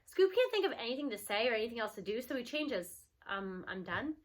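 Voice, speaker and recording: Animated, high-pitched woman reading a story. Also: fast talker. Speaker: read-aloud narrator. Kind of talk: expressive children's story narration.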